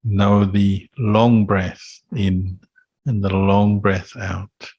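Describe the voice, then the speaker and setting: Male meditation teacher, very even delivery, slightly husky and soft-edged, calm phrasing with gentle pauses. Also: lowish voice, no room sound, muffled recording. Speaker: Buddhist monk teacher leading meditation. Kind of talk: guided meditation instruction.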